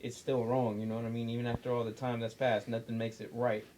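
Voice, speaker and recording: A man's voice: medium pitch, little pitch movement, quick pace, a little mellow. A man co-hosting a podcast, chatting casually in a slangy, profane and emphatic way. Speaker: podcast co-host. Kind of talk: casual chat.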